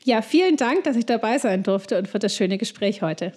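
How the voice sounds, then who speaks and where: Woman guest expert on a podcast, kinda high voice, explains money stuff calmly, lots of ums. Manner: explanatory, measured, frequent "ähm" fillers. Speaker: financial planning guest expert. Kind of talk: podcast interview answers.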